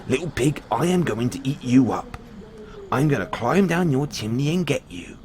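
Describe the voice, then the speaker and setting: A man's voice: medium pitch, animated, normal speed, a little mellow, pitched above the performer's own voice, close to an everyday voice. A man voicing a sly, hungry storybook wolf with coaxing menace. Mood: threatening.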